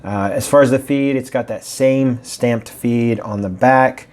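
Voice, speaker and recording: A man giving a casual, rambling review of a fountain pen, his voice a bit raspy from allergies. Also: medium pitch, a little slow, darkish tone. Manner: chatty, rambling, with fillers and restarts.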